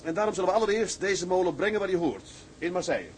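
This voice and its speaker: A man's voice: sits high, lively intonation, fast, a crowd in the background, rough recording. A man playing a genial, commanding tugboat captain in a radio drama, hearty and comradely. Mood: earnest. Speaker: actor playing a ship's captain. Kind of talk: Dutch radio drama acting.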